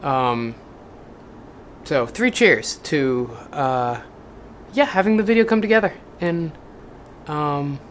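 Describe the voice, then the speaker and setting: A casual vlogger speaks at an everyday middle pitch and swings between rough, profane venting and a deliberate, lifted read-aloud voice. The talk rambles with fillers and restarts, and a faint hiss sits under it. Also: lively intonation. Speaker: video maker reading a book aloud.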